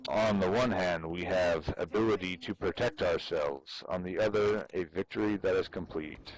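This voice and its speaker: A man giving a measured, solemn dramatic reading of an ancient text, declaiming it aloud. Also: low voice. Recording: noisy game voice chat. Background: online shooter game sounds.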